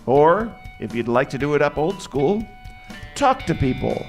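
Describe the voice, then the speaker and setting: A man's voice: a little high, sing-song, measured pace. A man announcing scripted credits for a radio drama as its announcer. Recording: live theater, music and audience behind. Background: music and crowd.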